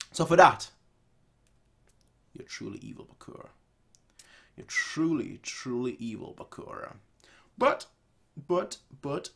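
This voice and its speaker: A young man hosts a casual reaction video. His pitch moves around a lot as he improvises, and he often repeats a phrase to drive it home. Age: young adult. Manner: animated, repeats phrases for emphasis. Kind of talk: casual off-the-cuff reaction commentary. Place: small room indoors.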